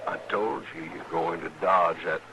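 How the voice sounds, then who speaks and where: A low, creaky male voice plays a badly hurt, delirious country man with a rural American accent. He speaks haltingly and weakly in a radio western, over noisy old sound. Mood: weak, bitter.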